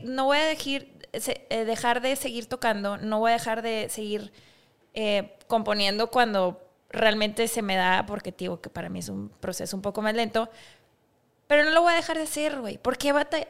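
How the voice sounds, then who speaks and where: A woman around forty with a northern Mexican accent and a fairly high, very clear, smooth voice. She speaks as a musician guest in a casual podcast chat, candid and emphatic, with plenty of slang. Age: about 40.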